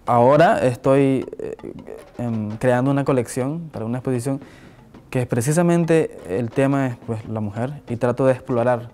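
A man's voice: mid-range voice, mellow. A man, a visual artist answering interview questions reflectively, with many restarts and tag questions, seated indoors on camera. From Nicaragua. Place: indoor office with flag and shelves. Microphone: fixed camera, seated medium shot.

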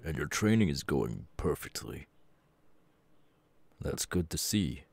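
A man playing a stern, gruff middle-aged superhero mentor and father in an ASMR audio roleplay, with a gravelly, husky voice and a measured, grave manner. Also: a bass voice, a little mellow, dry recording, heavily acted. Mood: pleased, formal. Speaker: voice actor.

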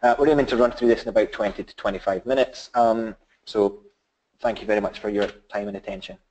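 A middle-aged man with a Scottish accent presenting a business webinar, halting with many fillers and restarts. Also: lowish voice, steady tone, a little mellow, lo-fi sound, a little hiss or hum. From Scotland.

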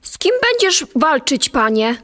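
A woman's voice: high-pitched, big pitch swings, unhurried, fairly bright tone, heavily acted. A woman playing a young stable boy in an audio story, courteous and deferential. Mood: helpful, curious.

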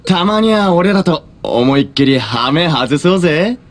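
A cocky young delinquent from an anime game, pitched high and swooping widely. His slangy speech swaggers like a street thug's. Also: expressive, measured pace. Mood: leering, taunting. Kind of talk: anime video game voice acting.

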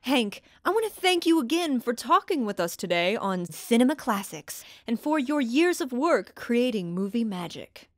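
An actress playing a polite, earnest classic-cinema interviewer in a comedy sketch, with a measured presenter's delivery. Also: female voice, on the high side, sing-song. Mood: gracious.

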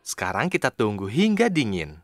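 A man's voice: lowish voice, wildly swooping pitch, moderate pace, faint music behind. An actor playing a patient, encouraging male helper in an Indonesian children's cartoon, instructing and reassuring.

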